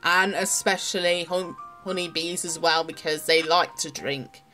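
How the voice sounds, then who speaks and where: A woman's voice: fairly low pitch, steady tone, measured pace, sharp tone, rough recording. A woman giving casual, rambling commentary as the host of a toy showcase video. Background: music and the toy's sounds behind the voice.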